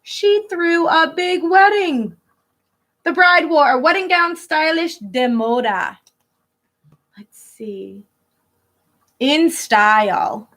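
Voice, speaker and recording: Bright, high-voiced woman reading a storybook aloud. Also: lively intonation, slow, pauses often. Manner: teacherly, stops to translate and explain words simply. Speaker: elementary school teacher reading aloud to pupils. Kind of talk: expressive picture-book read-aloud with explanatory asides.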